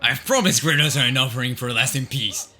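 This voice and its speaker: A man's voice: mid-range voice, fast talker, bright, forceful tone, soft background music. A man voicing a pompous, cruel lord with a grandiose, taunting manner in a theatrical manga dub. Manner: grandiose, mock-generous, taunting. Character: pompous, cruel male lord sneering over arena captives.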